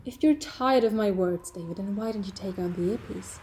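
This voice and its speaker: A woman's voice: medium pitch, moderate pace, dark tone, a little hiss or hum, lightly acted. A woman playing a playful, reassuring alien heroine in a fan audio drama, coaxing and teasing as she talks. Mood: firm, coaxing. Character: playful, reassuring time-travelling alien heroine, a voice in a boy's head. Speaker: voice actor. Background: music.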